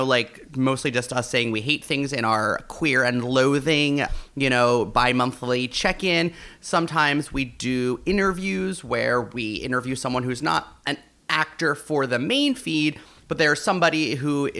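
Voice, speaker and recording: A man co-hosts a podcast in a casual, chatty style. His voice sits at an average pitch and has a very bright, forceful edge. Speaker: podcast co-host.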